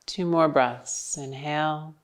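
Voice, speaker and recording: A woman yoga instructor guiding breathing and stretches with calm, encouraging, short cues. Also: sits low, slow. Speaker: yoga instructor leading a class.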